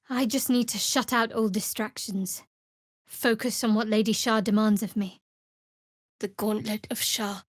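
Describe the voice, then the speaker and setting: An actress playing a guarded, sardonic young woman cleric devoted to a dark goddess in a video game, with dry, wry, measured delivery. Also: a little high, no room sound, natural voice. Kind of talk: video game character voice lines.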